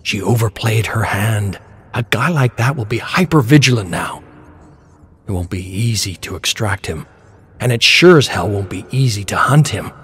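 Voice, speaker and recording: A man's voice: lowish voice, breathy edge. A man reading a scripted story as narrator, in a dramatic style.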